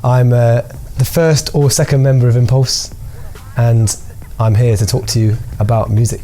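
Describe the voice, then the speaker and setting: A man's voice: medium pitch, normal speed. A young man from Birmingham, a drummer talking about his music in an easygoing interview, with frequent fillers. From Birmingham, England. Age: young adult. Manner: relaxed, rambling with fillers and restarts. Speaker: percussionist. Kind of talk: interview answers.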